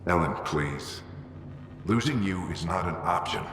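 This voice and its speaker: A man's voice: low-pitched, animated, measured pace, fairly bright tone. A man playing a stubborn, driven ex-soldier father in a video game, speaking tersely. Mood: stubborn, insistent. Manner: terse, insistent. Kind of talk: scripted video game drama. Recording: roomy hall echo, faint noise.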